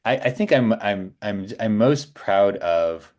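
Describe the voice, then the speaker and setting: A man of about forty talking casually as a podcast guest, halting and reflective. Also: lowish voice, animated, a little mellow. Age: around 40. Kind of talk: casual interview chat.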